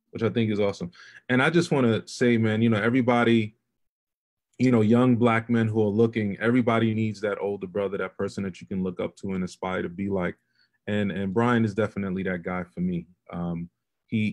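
Host, man, lowish voice, sincere and affirming, video call interview. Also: darkish tone. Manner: earnest and affirming, asks open questions. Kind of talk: conversational interview.

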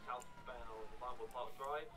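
A man's voice: medium pitch, a little slow. A man giving clipped, terse pursuit callouts as a police officer in an online roleplay game. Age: adult. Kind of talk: live radio-style pursuit callouts. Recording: very noisy, sirens and engines, distant mic. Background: police sirens and vehicle noise from the game.

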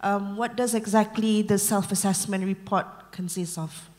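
A woman's voice: mid-range voice, steady tone, normal speed, a little mellow. A woman asking a question as a librarian at a conference. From Malaysia. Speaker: audience questioner, university librarian. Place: large conference hall audience. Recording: reverberant large auditorium.

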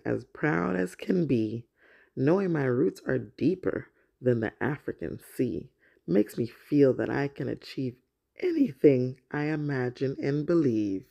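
A woman, around her thirties, speaking as the host of a children's story time, in an expressive storytelling manner. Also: rumbling low, gravelly. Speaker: host of a children's read-aloud show. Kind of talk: children's story time, picture book read aloud.

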